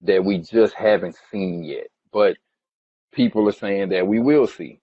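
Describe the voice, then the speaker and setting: A man giving informal explanatory commentary as a financial analyst, haltingly putting things in plain everyday terms, on a dull lo-fi recording. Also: lowish voice. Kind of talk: informal explanatory commentary over earnings slides.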